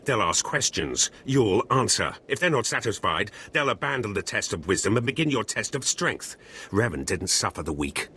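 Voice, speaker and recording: A wary, authoritative male cult guard for a video game. He has a lowish voice and gives curt, stern instructions, with faint noise in the background. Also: very animated, moderate pace, bright, forceful tone. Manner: brusque, authoritative.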